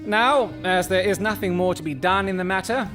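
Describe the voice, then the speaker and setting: A man playing a cool, shrewd detective in an audio drama, speaking with formal, measured courtesy and dry irony. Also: high voice, music in the background, lightly acted. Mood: dryly courteous. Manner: measured, formal, dryly ironic.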